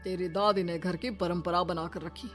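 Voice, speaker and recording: A woman's voice: lowish voice, steady tone, moderate pace, faint music behind, close to an everyday voice. A woman voicing a proud, chauvinist middle-aged father in a cartoon story, lecturing emphatically. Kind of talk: Hindi cartoon moral story.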